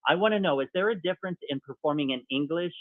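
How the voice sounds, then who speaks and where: A man's voice: a little high, no echo. A man co-hosting a talk show, asking long, rambling questions. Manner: rambling, builds questions slowly. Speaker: co-host. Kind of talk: casual interview chat. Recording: tinny phone-like sound.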